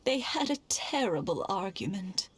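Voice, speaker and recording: A woman playing a gentle, contented elderly wife and mother in a video game, tender and sentimental. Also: medium pitch, very animated. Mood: wistful. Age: older. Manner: unhurried, tender. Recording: soft music behind the voice.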